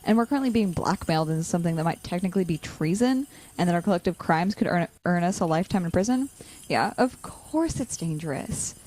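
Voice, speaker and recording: A woman's voice: fairly low pitch, expressive, a little fast, darkish tone, rough recording. A young woman playing an anxious, sardonic college-student supervillain in a naturalistic audio drama. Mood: exasperated. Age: young adult.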